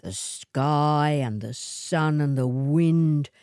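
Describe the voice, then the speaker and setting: A woman voicing a scruffy male wanderer and suitor in a storybook, boastful and coaxing, with measured delivery. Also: low voice, darkish tone, smooth, no echo, clean studio sound, full character voice. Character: scruffy swagman suitor, boastful, unhurried, persistent.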